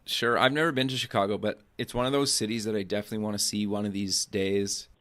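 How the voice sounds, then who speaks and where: A man's voice: fairly low pitch, steady tone, fast, fairly bright tone. A man hosting a podcast, chatting casually with a guest and often using fillers like "kinda" and "sure.". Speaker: podcast host.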